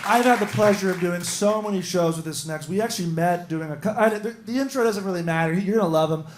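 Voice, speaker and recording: A male comedian singing comic songs over a guitar and breaking into mock shouts between lines. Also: high voice, fast, a crowd in the background, noisy background. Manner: half-sung bits, mock shouting. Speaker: comedian and show host. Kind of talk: musical stand-up with guitar.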